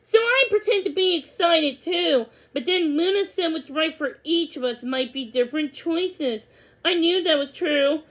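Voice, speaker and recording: A woman's voice: very high voice, fairly bright tone, pitched above the performer's own voice, rough recording. A woman voicing a timid, anxious young female dog in a storybook, with an emphatic, plaintive delivery.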